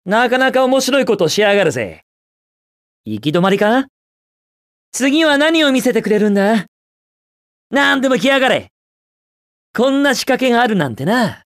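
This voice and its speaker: A man's voice: extremely high pitch, big pitch swings, moderate pace, pauses often, a bit bright, no echo, clean studio sound, exaggerated voice. An actor playing a cocky, carefree young male hedgehog hero in a video game, brash and slangy with a cool manner. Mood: breezy, curious. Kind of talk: video game voice acting, short in-game remarks.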